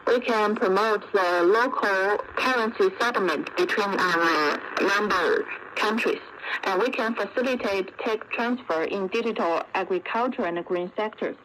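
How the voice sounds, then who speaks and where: A woman speaking as an expert guest, giving measured, formal analysis point by point in an interview over shortwave radio. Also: medium pitch, a bit bright. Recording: dull lo-fi shortwave radio with faint noise.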